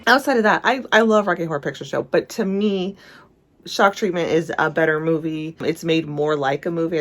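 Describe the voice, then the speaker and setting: Low-voiced woman giving casual film commentary. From England. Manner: enthusiastic, opinionated. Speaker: video essayist.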